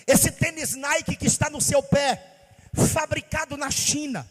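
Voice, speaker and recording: A male pastor from Bahia, his voice pitched very high as he preaches in a rising, sing-song cadence and hurls rhetorical questions at his listeners. His sermon rings out with a long reverb, as if in a large church hall. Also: fairly even intonation, measured pace. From Bahia, Brazil.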